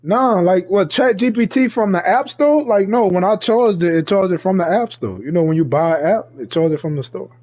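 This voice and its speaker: A man's voice: high-pitched, animated, a little fast, hardly any pauses, a little mellow. A man in casual chat, talking in heavy slang with lots of swearing and frequent laughter. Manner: slangy, profane, laughs often.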